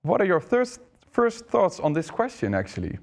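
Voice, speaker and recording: A man's voice: sits high, wildly swooping pitch, moderate pace. A man hosting an online interview, hesitant, often repeating words as he asks questions. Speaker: interview host.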